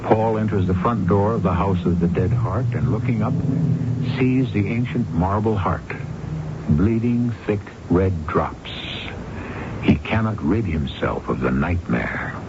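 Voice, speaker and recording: A man narrating a radio drama as host, in a measured, ominous storytelling manner. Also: sits low, noisy background. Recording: muffled old radio broadcast.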